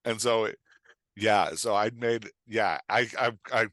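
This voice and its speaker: Male author-coach, middle-aged. Bright, forceful, lowish voice, dry close sound. Emphatic conversational explainer. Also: steady tone, slow, dry recording. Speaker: author and writing coach, podcast guest. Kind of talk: animated conversational explanation and storytelling.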